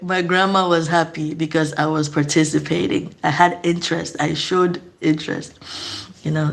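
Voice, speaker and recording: A woman's voice: low-pitched, creaky. A middle-aged woman from eastern Nigeria, speaking in a casual, earnest vlog monologue and asking reflective questions. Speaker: vlogger.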